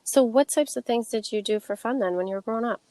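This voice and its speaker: A woman's voice: on the high side, fast talker, warm tone, no echo. A woman hosting a podcast, reading an intro and then asking questions in an upbeat, enthusiastic way. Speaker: podcast host. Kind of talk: scripted intro, then casual interview.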